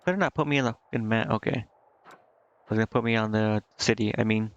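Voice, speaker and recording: A man's voice: on the low side, fast, clean tone, lo-fi sound. A man doing casual live game commentary, thinking aloud to himself in slangy, indecisive mutters. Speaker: game streamer. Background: game music.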